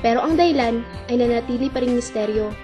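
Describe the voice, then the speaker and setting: A young woman, acting as lead and narrator of an amateur radio drama, a lovesick young hospital worker speaking softly and wistfully. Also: fairly high pitch, fast, close to an everyday voice. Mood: reflective. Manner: soft, wistful, breaking into tearful pleading. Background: music.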